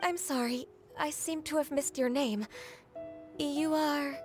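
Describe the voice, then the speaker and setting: An actress voicing a gentle, drowsy, polite young woman in a video game, soft-spoken and hesitant. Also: sits high, steady tone, slow pace. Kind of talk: video game dub acting. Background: faint background music.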